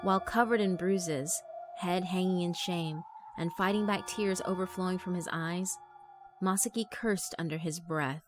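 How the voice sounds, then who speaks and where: A woman narrating a horror visual novel in a steady, measured way. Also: fairly low pitch, soft background music.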